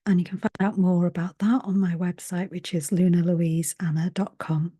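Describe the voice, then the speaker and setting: A woman, a hypnotherapist and healer, giving a gentle spiritual talk to camera through an earbud mic, slightly raspy from a sore throat and often half-whispering. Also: fairly low pitch, flat delivery, normal speed.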